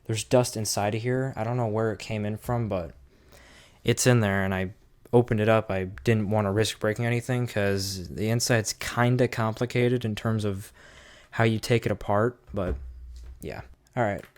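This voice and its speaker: A man's voice: lowish voice, fairly even intonation, normal speed, a little mellow. A man giving a casual, unscripted hands-on product review, thinking aloud as he goes in an informal way.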